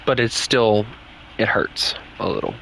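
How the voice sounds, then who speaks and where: A man's voice: on the low side, expressive, measured pace, gravelly, a bit bright, a little hiss or hum, low-quality recording. A young man with a Southern US accent, chatting casually and candidly with other players while streaming a game. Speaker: gaming streamer. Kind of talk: casual live-stream chat.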